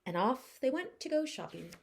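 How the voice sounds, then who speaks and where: A woman with a fairly high voice reads a children's picture book as a teacher would. Her intonation is very lively, and her phrasing is deliberate and expressive. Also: vocal fry, a little hiss or hum. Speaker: teacher reading aloud. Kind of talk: children's storybook narration.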